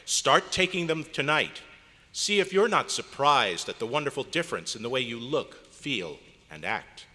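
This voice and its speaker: Man as bright, punchy 1940s radio announcer. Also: mid-range voice, sing-song, moderate pace. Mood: eager selling. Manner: dramatic, emphatic, salesmanlike. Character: old-time radio announcer and pitchman, urgent, persuasive. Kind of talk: 1940s radio advertisement and show opening, recreated live. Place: large hotel meeting room at a convention. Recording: echoey hall, live stage performance.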